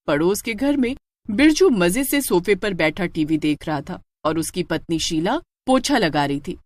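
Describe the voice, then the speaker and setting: A woman's voice: lowish voice, animated, normal speed. A woman telling a story as a dramatic scripted narration.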